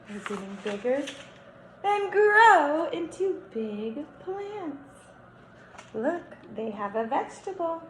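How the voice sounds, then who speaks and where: Slow-paced young kindergarten teacher, a woman. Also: fairly high pitch. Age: young adult. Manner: animated, instructive, stretches words for emphasis. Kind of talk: read-aloud lesson for young children. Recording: roomy echo, faint background noise.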